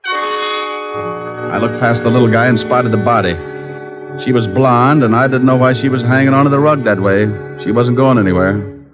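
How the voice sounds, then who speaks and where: A wisecracking detective from a 1950s radio drama acted by a man. He stays cool and flippant, delivering dry lines in a middle-pitched voice. The sound is the dull, narrow tone of an old broadcast. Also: normal speed, long pauses, loud music behind the voice, natural voice. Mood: wry, cool. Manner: flippant, deadpan wit. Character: wisecracking, unflappable male private detective.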